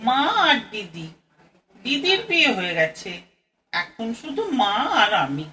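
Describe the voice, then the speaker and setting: A woman's voice: medium pitch, very animated, unhurried, bright, forceful tone, distant mic, soft background music. A woman playing a polite, inquisitive, educated young Bengali woman in an audio play, speaking in a composed, questioning way. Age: young adult.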